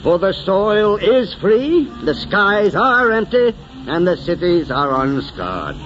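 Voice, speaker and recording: Elderly mayor, patriotic orator, sweeping intonation, slow solemn phrasing, 1940s radio drama, muffled hissy recording. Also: male voice, high-pitched, very animated, fairly bright tone, soft background music, heavily acted. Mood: solemn, stirring. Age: older. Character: elderly small-town mayor, sentimental, patriotic, grieving orator.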